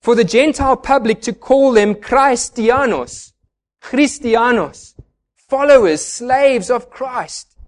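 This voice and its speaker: Male pastor, high voice, earnest explanatory sermon delivery. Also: takes it slowly. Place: church.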